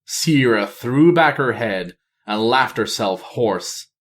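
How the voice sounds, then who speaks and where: Guy narrating a fantasy novel, slow and theatrical, voice goes up and down a lot. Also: medium pitch, lively intonation. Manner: measured, theatrical. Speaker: audiobook reader.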